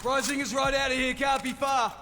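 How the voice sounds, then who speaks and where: An actor playing a plucky, chummy British soldier in a wartime video game, talking in an informal, slangy way. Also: male voice, very high-pitched, level tone, fairly quick, a little hiss or hum. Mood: tense. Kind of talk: cinematic video game acting. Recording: loud music behind, mechanical noises.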